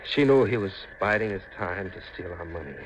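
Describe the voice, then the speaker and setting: A man's voice: low-pitched, expressive, fairly quick. An actor in a 1950s radio drama playing a haunted, grief-stricken seafaring man who talks in a brooding, insistent, folksy way. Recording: muffled, noisy 1950 radio recording.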